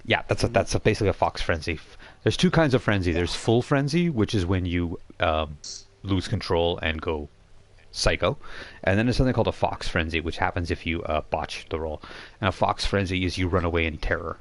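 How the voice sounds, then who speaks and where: A man's voice: low-pitched, brisk. A man narrating a tabletop role-playing game as game master, often repeating and restarting his phrases.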